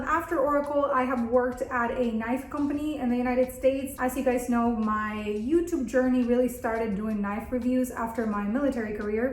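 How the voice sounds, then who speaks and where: A 38-year-old woman from Argentina, a native Spanish speaker, talking casually and candidly to viewers as an upbeat vlog host. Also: fairly high pitch. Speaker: content creator and video host. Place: bathroom at home, indoors. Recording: echoey indoor room.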